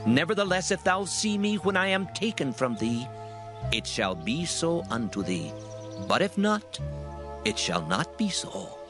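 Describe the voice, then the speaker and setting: A man playing a grave elder prophet in dramatized scripture, speaking in a weighty, deliberate, oracular way. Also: fairly high pitch, big pitch swings, music in the background. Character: Elijah, grave elder male prophet and master. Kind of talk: dramatized scripture audio acting.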